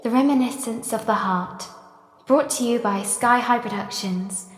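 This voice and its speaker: A woman's voice: on the high side, measured pace. A woman reading scripted title announcements for a radio drama. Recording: echoey, long reverb, music behind.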